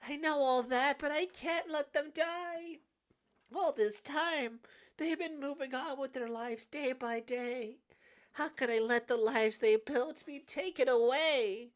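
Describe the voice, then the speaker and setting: Tragic young cursed woman, acted by a female reader, self-sacrificing, pleading, strained, slow delivery, visual novel drama. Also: a bass voice, voice pushed down.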